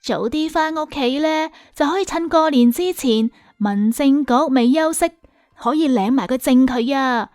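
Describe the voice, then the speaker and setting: A woman voicing an excitable, chatty young woman friend in an audiobook, gushing and exclamatory. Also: high-pitched, unhurried, dry recording, clean studio sound, faint music behind, voice pushed up. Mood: proud, excited. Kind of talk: audiobook character dialogue.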